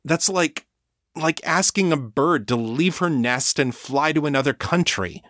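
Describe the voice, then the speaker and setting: A man voicing a steady, patient man in his late thirties who talks calmly and reasons things through. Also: a little high, measured pace, no room sound, studio quality, voice pushed up. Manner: calm, reasoning.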